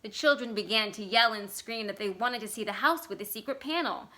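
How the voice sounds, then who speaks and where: A woman reading literary fiction aloud as expressive scripted narration. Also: a little high, a little fast. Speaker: library staff story reader.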